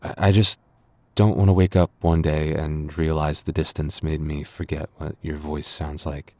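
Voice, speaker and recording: A man's voice: rumbling low, little pitch movement, normal speed, a little mellow, no echo. A man playing a flustered, lovestruck young man in a boyfriend roleplay audio, stammering and bashful. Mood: wistful, anxious. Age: young adult. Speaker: voice actor.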